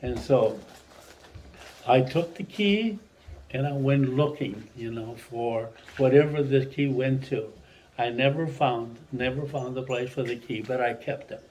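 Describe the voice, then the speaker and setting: An older man from Mexico whose first language is Spanish, a retired teacher telling a long childhood story to his family in a rambling, animated way. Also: medium pitch, a little slow, slight background noise, low-quality recording. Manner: rambling, animated storyteller who restarts sentences.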